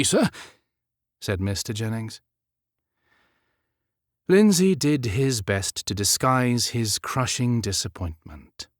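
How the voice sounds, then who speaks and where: A man's voice: fairly low pitch, no echo, studio quality. A man narrating a period romance audiobook as scripted fiction.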